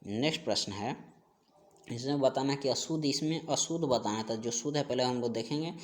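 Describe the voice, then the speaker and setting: A man's voice: medium pitch, brisk, slight background noise. A man teaching an exam-prep grammar lesson, going through questions and answers and repeating each answer in an instructional way.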